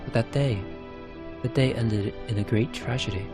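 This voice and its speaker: A man voicing a gentle, composed woman in a narrated game story. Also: mid-range voice, normal speed, over music, natural voice. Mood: composed, wistful. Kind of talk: narrated game cutscene character.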